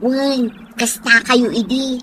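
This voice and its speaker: A woman's voice: a little high, slow pace, a bit bright, slight background noise. A woman playing an inquisitive, practical young friend in an Ilocano radio drama, with a Filipino Ilocano accent. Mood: inquisitive, concerned. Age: young adult.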